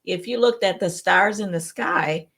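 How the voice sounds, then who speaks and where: A woman with a somewhat low voice talks enthusiastically and reflectively in a relaxed conversation. Fillers appear naturally as she thinks aloud. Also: measured pace, husky. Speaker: co-host. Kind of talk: casual chat.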